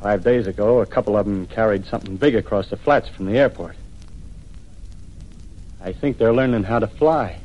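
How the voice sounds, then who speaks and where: A man's voice: lowish voice, normal speed, pauses often, natural voice. A muffled 1938 radio recording carries an actor as an embittered American militiaman. He is a wary, fanatical survivor who talks in clipped, colloquial bursts, a man ranting about how to stay alive in classic radio-play style. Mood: bitter, defeated. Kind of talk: 1930s radio drama acting.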